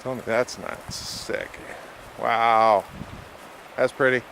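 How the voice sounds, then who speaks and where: A man's voice: mid-range voice, steady tone, slow, creaky, fairly bright tone, a little hiss or hum, poor audio. Chatty man narrating a mountain hike. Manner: chatty, easygoing, wry. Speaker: backpacker filming his trip. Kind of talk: casual trail vlog narration. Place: outdoors on a mountain trail by lakes.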